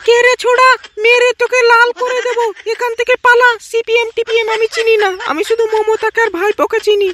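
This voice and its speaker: A performer voicing a quick-tempered village auntie who scolds in rustic outbursts in a comic cartoon. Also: extremely high pitch, little pitch movement, bright, forceful tone, a little hiss or hum. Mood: angry.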